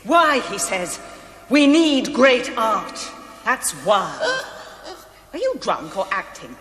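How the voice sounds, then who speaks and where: A woman's voice: a little high, very animated, slow pace, crowd noise. An actress playing a haughty, indignant British lady in a television play, declaiming imperiously about what she holds dear. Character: haughty, indignant lady devoted to great art. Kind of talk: theatrical television play acting. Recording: echoey hall with faint ambient noise.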